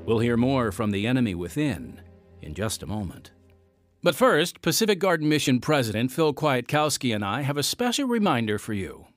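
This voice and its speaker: A man's voice: medium pitch, very animated, moderate pace, no room sound, soft background music. A man hosting a scripted radio segment as the announcer. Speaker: announcer and host.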